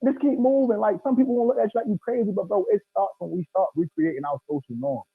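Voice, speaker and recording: A man's voice: sits high, fast talker, vocal fry, breathy edge, no echo. A man in his thirties with an American accent, talking casually and emphatically in slangy speech over a video call with thin sound. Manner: emphatic, slangy, often says "bro". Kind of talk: casual, animated discussion. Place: indoor room, video call. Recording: thin phone-like online call audio.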